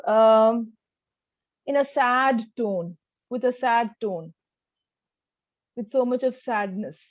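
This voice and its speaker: A woman with a high voice works as a speaking tutor in a one-to-one lesson. She gives instructions and models sentences with exaggerated rise and fall. The sound is close and dry, though a little muffled. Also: slow pace, dry recording.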